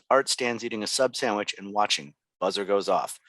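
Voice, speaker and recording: Bright, low-voiced man reading stage directions. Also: normal speed, no room sound. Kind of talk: scripted narration at a table read.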